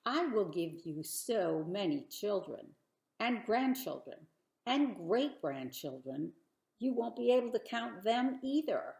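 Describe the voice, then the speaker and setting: A woman's voice: medium pitch, sing-song, takes it slowly, vocal fry, a little mellow, roomy sound, exaggerated voice. Woman voicing a loving, emphatic storybook God. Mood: delighted. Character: God as a loving, playful, promising storybook character.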